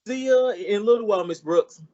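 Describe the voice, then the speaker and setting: An eager, folksy teenage boy played by a man in a radio comedy, earnest and polite. The voice is soft-edged and mellow, on a slightly muffled recording. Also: high-pitched, brisk, natural voice. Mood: cheerful, earnest. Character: eager, naive, good-natured teenage schoolboy. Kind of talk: old-time radio comedy acting.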